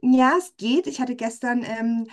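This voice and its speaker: A woman co-hosting a podcast, chatting in a lively way and laughing often. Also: on the high side, a little slow. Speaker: podcast co-host. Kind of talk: podcast chat.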